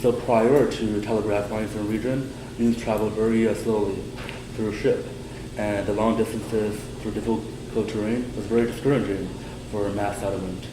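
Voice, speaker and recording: A young male student giving a prepared class presentation in a steady way, slowing on key words. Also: lowish voice. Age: young adult. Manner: steady, prepared delivery with some slow stresses. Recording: echoey room with air-conditioning hum.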